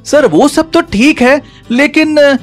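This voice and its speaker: Man voicing an earnest, high-pitched male scientist. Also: takes it slowly, soft background music. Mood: wary. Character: eager male scientist serving a rich boss.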